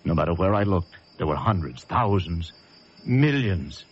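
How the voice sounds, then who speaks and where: An actor playing a wry, world-weary American lighthouse keeper, narrating a horror tale with deliberate, dramatic delivery. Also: male voice, low-pitched, animated, breathy edge, full character voice. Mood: grim, suspenseful. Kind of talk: first-person radio drama narration. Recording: muffled radio drama recording with music.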